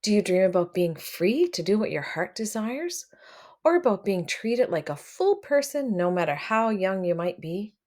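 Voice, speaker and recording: A woman reads a children's picture book aloud in a mid-pitched voice with a very animated, swinging intonation. She is expressive and speaks straight to her young listeners as a storyteller would. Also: moderate pace. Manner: expressive, addresses children directly.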